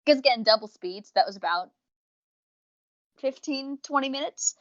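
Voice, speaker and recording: A woman's voice: sits high, expressive, moderate pace, fairly bright tone, no room sound. A young adult woman chatting casually as a podcast co-host, rambling and interrupting herself as she goes. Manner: chatty, tangent-prone, self-interrupting. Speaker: podcast co-host reviewing audio dramas. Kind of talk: casual rambling review chat. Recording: dull, lo-fi close dry mic.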